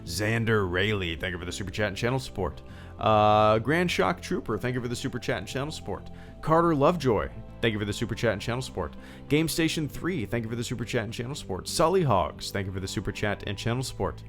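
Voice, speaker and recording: A man's voice: lowish voice, expressive, fast, a bit bright, faint music behind. A man in his thirties hosting a casual livestream, rambling with lots of fillers and restarts and reading out thanks to viewers. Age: 30s. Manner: reads out viewer thank-yous. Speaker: livestream host.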